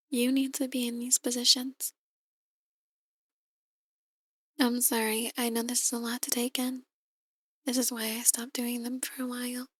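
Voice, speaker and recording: A seductive, possessive demon woman, voiced by an actress in an ASMR roleplay. Her voice is very high yet hushed and breathy, recorded dry and close. She coaxes slowly, often slipping into a whisper. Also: no room sound. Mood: gentle, mysterious. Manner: hushed, breathy, slow coaxing delivery. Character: soft-spoken seductive possessive female demon, outwardly a tarot reader.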